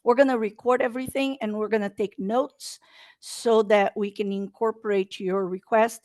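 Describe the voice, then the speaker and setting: A woman's voice: medium pitch, mellow, no room sound. Woman, Brazilian Portuguese accent, earnest webinar presenter, dead-dry video-call sound. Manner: earnest, talks in non-native English with slight slips. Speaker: state procurement presenter.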